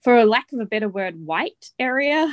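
A woman's voice: a little high, lively intonation, moderate pace, dry recording, lo-fi sound. A woman in her twenties with a New Zealand accent, co-hosting a casual podcast and talking in a friendly way, laughing easily. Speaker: podcast co-host. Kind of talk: casual chat.

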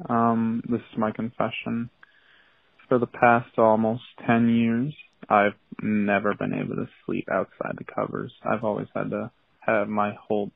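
A man with a gravelly, husky voice confesses on a thin phone voicemail. He talks hesitantly, often restarting, as an anonymous caller. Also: fairly low pitch, dry recording. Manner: hesitant, halting. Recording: tinny phone voicemail line.

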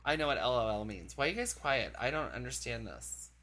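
A man co-hosting a podcast in casual chat, campy, loud and giggly with friends in a room. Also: medium pitch, lively intonation, brisk. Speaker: podcast co-host.

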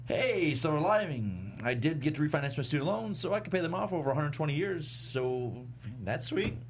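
Comedy read: male actor as sardonic, deadpan thirtysomething vampire, animated intonation, slight background hum. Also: a little high, brisk. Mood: bitterly wry. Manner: deadpan, self-deprecating. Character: mopey thirty-five-year-old male vampire, sardonic older brother. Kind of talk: comedy script table read.

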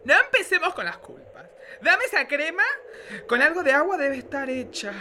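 A man's voice: extremely high pitch, very animated, moderate pace, bright, forceful tone, exaggerated voice. A man playing a melodramatic, flamboyant showgirl diva in a campy comic radio play. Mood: dramatic. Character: melodramatic, self-styled responsible showgirl diva, a woman. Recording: clearly noisy background.

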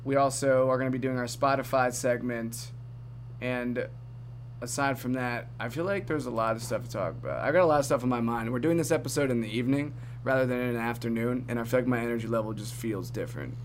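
A man's voice: medium pitch, quick pace. Man, podcast host, upbeat, chatty, laughs a lot, faint hum. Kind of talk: casual chat.

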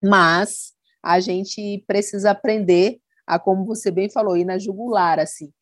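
A woman's voice: mid-range voice, fairly even intonation, measured pace, no room sound, clean studio sound. A woman content creator in casual conversation, speaking earnestly and reflectively, often quoting what others said to her.

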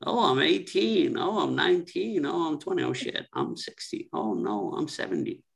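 A man speaking as a guest on a reflective podcast, slow and ruminative, with fillers and repeated phrases. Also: a little high, very animated, gravelly.

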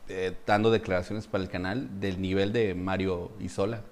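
A middle-aged man with a Mexican accent hosts a relaxed online chat. His voice sits on the low side, and he talks in a chatty, teasing way, often pausing with fillers. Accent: Mexican Spanish. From Mexico City. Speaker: host. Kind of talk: casual live-stream chat.

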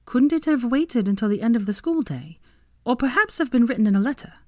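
Woman doing gleeful adult woman teacher, high excitable voice, lively swoops, dry close sound. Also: brisk, no echo, close to an everyday voice. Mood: wary. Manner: high, animated and emphatic.

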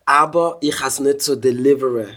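A young man with a Swiss German accent talks casually as a guest. He sounds relaxed and slips English phrases into his sentences. His pitch is ordinary for a man. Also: brisk. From Switzerland. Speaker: rapper guest. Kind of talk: casual interview chat.

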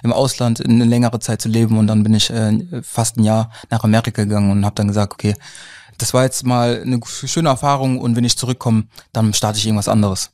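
Fast-talking young man, even-toned. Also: lowish voice, no room sound, studio quality. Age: young adult. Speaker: co-founder and co-host. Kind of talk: casual conversational storytelling.

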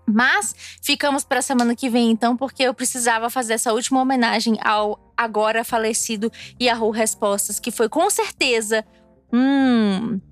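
Uma mulher de Brasília falando de forma casual e cheia de rodeios num vlog, um pouco alegre depois de beber. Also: female voice, fairly high pitch. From Brasília, Brazil. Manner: chatty, digressive, with frequent fillers and restarts. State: a little tipsy from drinking. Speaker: vlogger.

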